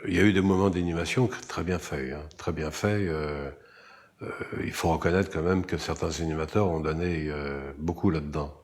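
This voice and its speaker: A man's voice: very deep voice, moderate pace, a little mellow. A man being interviewed, recalling past work in a hesitant way, searching for words. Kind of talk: recollections in an interview.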